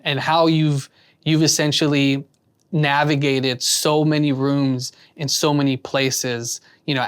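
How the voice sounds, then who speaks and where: A man's voice: mid-range voice, clean tone. A man hosting an interview, asking rambling, earnest questions full of restarts. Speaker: interview host. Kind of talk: casual interview.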